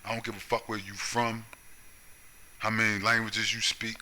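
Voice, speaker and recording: Sharp-voiced man ranting, blunt and profane. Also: lowish voice, level tone, moderate pace, slight background noise. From United States. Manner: confrontational, profane, keeps tagging lines with "right?" and "you see?". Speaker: video commentator. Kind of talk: solo ranting monologue.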